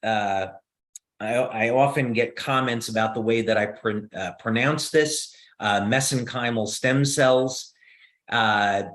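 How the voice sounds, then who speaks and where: A man with a New Jersey accent explaining science on a video call, measured and steady, with frequent "uh" fillers. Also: mid-range voice.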